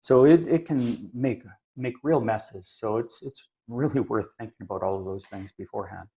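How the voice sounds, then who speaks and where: A man talking through technical points in a conversational, measured and halting way, like an experienced expert thinking aloud. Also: on the low side, gravelly, dark tone. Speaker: aviation maintenance engineer and inspector, podcast regular. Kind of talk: conversational explanation.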